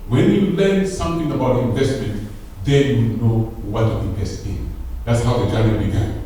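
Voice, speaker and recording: A man's voice: medium pitch, normal speed, warm tone, slight background noise. A man giving the opening speech at a conference, talking like a preacher and rising to emphatic peaks. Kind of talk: public speech. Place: large hall. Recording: echoey hall, distant mic.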